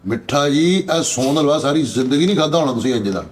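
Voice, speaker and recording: A man's voice: fairly high pitch, expressive, fast talker. A man with a Pakistani Punjabi accent telling anecdotes in casual chat on a comedy show.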